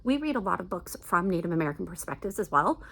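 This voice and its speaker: A middle-aged woman with a slightly low voice speaks casually, as if vlogging about favorite books. Her delivery is enthusiastic and emphatic, in an informal, chatty style. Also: very animated, quick pace, creaky, a bit bright, husky. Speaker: book vlogger recommending family audiobooks.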